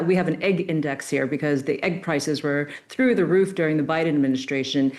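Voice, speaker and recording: Female pundit, low register, energetic and conversational, loose with fillers. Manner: upbeat, with fillers and restarts. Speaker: political commentator. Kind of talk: live news commentary.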